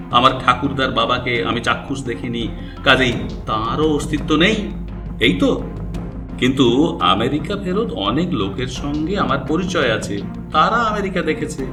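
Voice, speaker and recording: A young man narrating his own story in the first person, with a confident and skeptical manner. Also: medium pitch. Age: young adult. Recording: distant echoey voice with background music.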